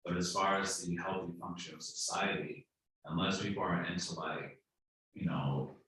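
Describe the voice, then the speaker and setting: Man, deep low voice, casual class talk, loose filler words, distant, big hall echo. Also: normal speed. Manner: relaxed, chatty, peppered with "like". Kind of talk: casual scripture class discussion. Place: temple hall. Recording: echoey hall, distant mic.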